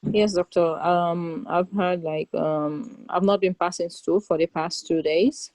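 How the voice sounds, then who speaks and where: A woman role-playing a patient in a mock exam, speaking haltingly over a video call with many ums. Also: on the low side, steady tone, darkish tone, dry recording, rough recording.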